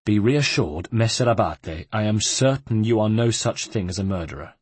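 A man reading an earnest, patient adventurer in an audiobook, speaking in a measured, courteous, firm way. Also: on the low side, expressive, husky, no room sound. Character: earnest, patient male Italian adventurer seeking information.

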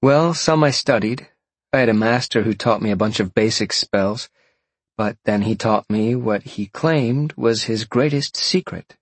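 A man's voice: on the low side, a little slow, breathy edge, no room sound, voice pushed up. A man voicing a playful, teasing young sorceress who talks in a breezy, confiding way for an audiobook.

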